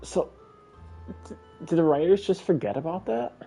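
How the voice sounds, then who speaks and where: A man's voice: on the high side, lively intonation, moderate pace, creaky, mellow, husky, faint music behind. A man streaming a video game with casual, reactive commentary, blunt and incredulous in manner.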